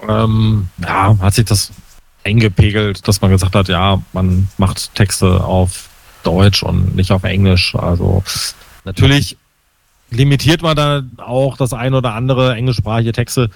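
Interview guest, man in his fifties from Hessen, lowish voice, reflective and halting, on a remote line. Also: little pitch movement, vocal fry. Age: 50s. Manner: hesitant, many fillers, reflective. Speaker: musician guest. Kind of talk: casual interview answers.

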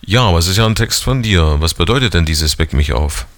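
A man's voice: low-pitched, expressive, fairly quick, no room sound. A man in his forties hosting a conversational radio interview, putting probing questions to his guest. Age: 40s. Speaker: radio host interviewing a musician.

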